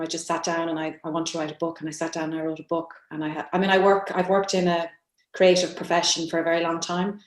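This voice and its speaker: Low, even-voiced woman in echoey room. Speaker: writer on a festival panel. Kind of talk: reflective panel conversation. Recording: roomy, echoing sound.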